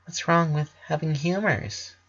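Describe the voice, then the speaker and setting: A man's voice: on the high side, measured pace, a bit bright, pitched above the performer's own voice. A man voicing a dim, easily panicked teenage sister in a story. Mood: confused. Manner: naive, panicky. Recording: dull lo-fi recording.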